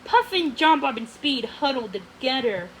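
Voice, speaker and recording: A woman narrating a storybook aloud, haltingly, often stumbling and going back over phrases. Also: high voice, animated, a bit bright, a little hiss or hum, poor audio.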